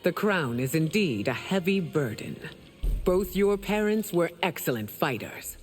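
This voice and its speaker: A woman's voice: on the low side, expressive, unhurried. A woman playing a proud, stern empress in a fantasy video game, speaking in a regal and formal manner. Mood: composed, regal. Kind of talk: video game dramatic acting. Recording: long hall reverb, music behind.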